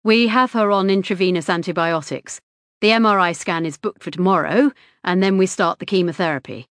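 A woman's voice: fairly low pitch, a little fast, a bit bright, no room sound, clean studio sound, natural voice. A woman reading the lines of a composed, precise middle-aged male hospital consultant in an audiobook, with a clipped, formal manner.

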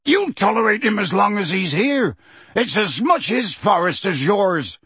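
A man's voice: on the high side, animated, unhurried, vocal fry, a bit bright, husky, no room sound, exaggerated voice. A man voicing a blunt, stubborn half-giant gamekeeper who talks testily and defiantly. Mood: angry. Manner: testy, defiant, outspoken.

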